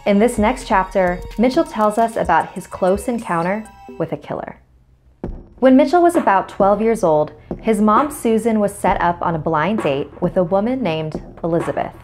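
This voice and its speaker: A woman's voice: mid-range voice, animated, moderate pace, a little mellow, soft background music. A woman hosting a scary story series, reading scripted horror narration.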